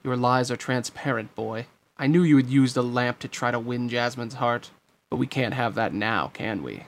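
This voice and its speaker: A man voicing a smug, sneering, scheming sorcerer with a grating voice, gloating with drawn-out menace in a storybook reading. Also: medium pitch, creaky, close to an everyday voice.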